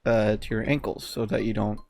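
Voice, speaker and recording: A man's voice: fairly low pitch, fairly even intonation, fairly quick, creaky, a little mellow, faint music behind. A young man co-hosting a podcast, chatting in an informal, slangy way. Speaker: podcast co-host. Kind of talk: casual chat.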